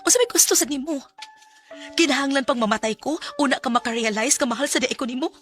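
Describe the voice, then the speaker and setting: High-voiced emotional young Cebuana woman. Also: fast, a bit bright, faint music behind. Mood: bitter, accusing. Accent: Cebuano Filipino. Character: hurt young woman confronting her noncommittal lover. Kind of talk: Filipino radio drama acting.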